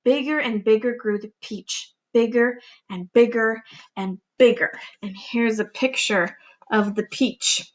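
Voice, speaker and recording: Woman, fairly high voice, expressive storybook narration, deliberate delivery, teacher reading aloud. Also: rough recording.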